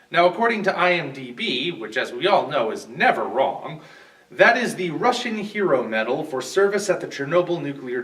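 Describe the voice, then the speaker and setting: A man's voice: on the high side, fairly bright tone. A man giving scripted film commentary as a guest reviewer, recorded at a distance from the microphone. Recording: distant, off-mic voice.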